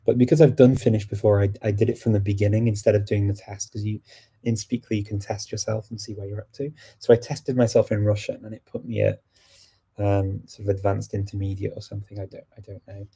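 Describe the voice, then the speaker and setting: A man's voice: fairly low pitch, quick pace, slightly rough. A man hosting a language-learning livestream, chatting casually as he answers viewers' questions. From United Kingdom. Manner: relaxed and chatty, answering questions as they come in.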